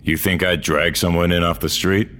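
A world-weary old cyborg man in a science-fiction audio drama. His voice sits very low, and he talks bluntly with gruff, dry wit. A faint bed of noise lies behind him. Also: little pitch movement, normal speed, fairly bright tone. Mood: guarded. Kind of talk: audio drama dialogue and first-person narration.

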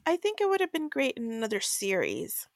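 A woman's voice: a little high, huge pitch swings, a little fast, no echo. Blunt, exasperated woman in a dry close recording. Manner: exasperated, freely swearing, blunt. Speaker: podcast co-host. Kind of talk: casual book recap and commentary.

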